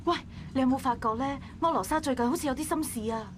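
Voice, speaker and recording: A woman's voice: high-pitched, fast talker, a little hiss or hum, close to an everyday voice. A woman playing a concerned, bossy busybody dock worker in a visual novel, with a Hong Kong Cantonese accent.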